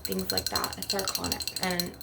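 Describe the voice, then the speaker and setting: A woman's voice: fairly low pitch, very animated, normal speed. A woman rambling casually in a blunt, aggrieved, sweary way while making an ASMR video with tapping. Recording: clear background noise with clicking, clinking taps. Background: glass and crystal tapping.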